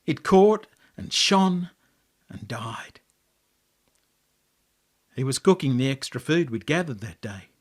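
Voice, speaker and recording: An Australian man reading a story as its author, with expressive, dramatic delivery and deliberate pauses. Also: medium pitch. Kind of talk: dramatic storytelling, scripted narration.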